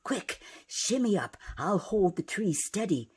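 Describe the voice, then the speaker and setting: A woman reader acts out an old mother bear from a storybook. She is gruff and bossy, and she growls her slow words through her teeth. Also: mid-range voice, sing-song, exaggerated voice. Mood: gruff, urgent.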